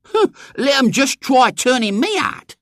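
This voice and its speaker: A man's voice: very high voice, sing-song, measured pace, no room sound, studio quality, voice pushed up, exaggerated voice. A man voicing a brash, scornful, bossy young girl with a working-class English accent. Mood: scornful, lofty. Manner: loud, lofty and know-it-all.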